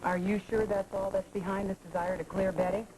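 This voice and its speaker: An actress voicing a self-assured, flirtatious woman with a wry, teasing way of talking. Her voice sits in a middle pitch, and the scene plays like 1980s daytime television. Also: level tone, a little fast, a crowd in the background, natural voice, poor audio. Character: poised, flirtatious professional woman. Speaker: soap opera character. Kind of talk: 1980s daytime soap acting.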